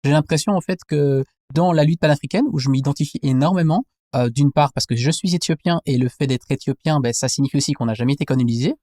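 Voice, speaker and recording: A man's voice: a little high, fast talker, hardly any pauses, warm tone, dry recording, clean studio sound. A man, reflecting thoughtfully in a conversational interview and rephrasing as he goes. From Belgium. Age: 20s. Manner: thoughtful, searching, reformulates as he goes. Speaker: interview guest, anthropologist.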